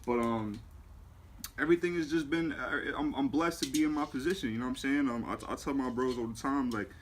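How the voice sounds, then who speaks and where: A man's voice: mid-range voice, fairly quick. A man in his early twenties, a music manager, talking casually in an interview and leaning on fillers like "you know what I'm saying". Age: 20s. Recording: faint background noise.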